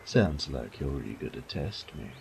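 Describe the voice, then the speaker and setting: A man's voice: rumbling low, very animated, unhurried, vocal fry, slight background noise, voice pushed down, full character voice. A man playing a cocky young commoner turned knight in an audio drama, speaking in a dry, casual, unpolished way. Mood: cocky, guarded. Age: young adult. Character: low-voiced young rogue commoner, newly made knight, cocky. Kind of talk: audio roleplay drama acting.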